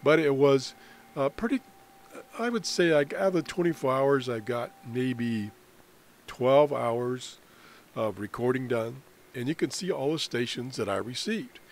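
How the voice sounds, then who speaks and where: An American man giving an unscripted software walkthrough as a radio hobbyist, explaining in a halting way with trailing phrases. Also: mid-range voice. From United States. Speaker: amateur radio hobbyist presenting a tutorial. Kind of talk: unscripted software screen demonstration. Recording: clear background noise on voice-over.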